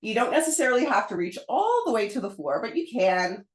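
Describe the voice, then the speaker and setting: A pilates instructor who is getting over a cold and sounds hoarse, calmly talking a class through each move in an encouraging way. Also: female voice, on the high side, fairly quick. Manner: calm, encouraging step-by-step cueing. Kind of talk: guided pilates class cues. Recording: roomy echo.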